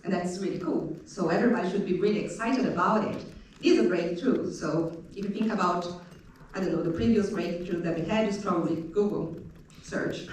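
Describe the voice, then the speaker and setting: A woman lecturer giving panel remarks, lively and chatty, with a light joking touch. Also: on the low side, slight background noise. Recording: very echoey room, distant mic.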